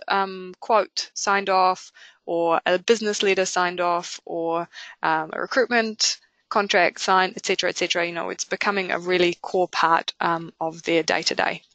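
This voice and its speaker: A woman with a New Zealand accent presenting a product webinar in a conversational way, often pausing with fillers. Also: fairly low pitch, gravelly, a bit bright, dry recording. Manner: conversational, with frequent filler pauses.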